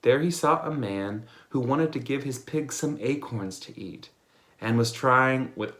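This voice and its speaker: A man's voice: medium pitch, a little slow, fairly bright tone, roomy sound. A man reading fairy tales aloud in a playful storytelling manner. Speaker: storybook reader.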